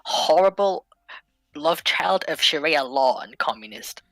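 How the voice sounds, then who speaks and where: A woman's voice: low-pitched, lively intonation, a little slow, a bit bright, dry recording, soft background music. A woman chatting casually and debating history as a co-panelist in a discussion.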